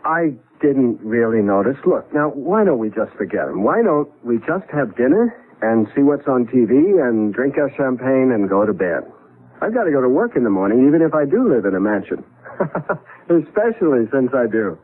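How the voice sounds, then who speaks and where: An actor playing a good-humored husband in a 1970s radio drama, with a jokey, expressive delivery. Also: male voice, medium pitch, moderate pace, phone-quality sound. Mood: uneasy, placating. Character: good-humored husband newly moved into an old mansion. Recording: band-limited vintage radio recording.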